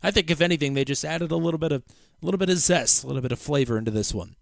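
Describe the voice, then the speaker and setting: A man's voice: on the high side, very fast, vocal fry, dry recording, studio quality. A man hosting racing commentary, upbeat, while sick and losing his voice. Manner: upbeat, rattles off schedule. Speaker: race commentator host. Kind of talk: sim racing broadcast commentary.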